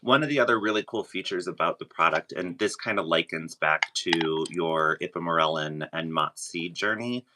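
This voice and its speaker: A man's voice: sits low, moderate pace, hardly any pauses, noisy background. A man chatting casually as a guest, explaining his own experience with frequent hesitations. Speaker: podcast guest. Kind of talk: casual conversational testimonial.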